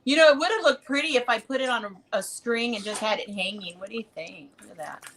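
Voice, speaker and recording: A woman's voice: a little high, quick pace, noisy background. A woman hosting a craft show over a video call, bubbly and teasing, often breaking into laughter. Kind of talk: casual group chat.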